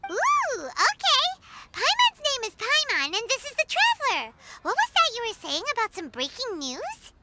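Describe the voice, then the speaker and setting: An actress voicing a tiny, childlike fairy companion in an anime game, squeaky, excitable and nosy, with exclamatory delivery. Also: female voice, moderate pace, very noisy, exaggerated voice. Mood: curious, doubtful. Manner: refers to self in third person, exclamatory. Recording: loud music under the voice.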